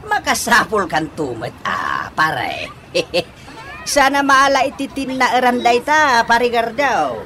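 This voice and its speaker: A man's voice: extremely high pitch, big pitch swings, slow, noisy background, heavily acted. A man playing a giddy, boastful, lovestruck old father in a radio comedy drama, with exaggerated, giggly delivery.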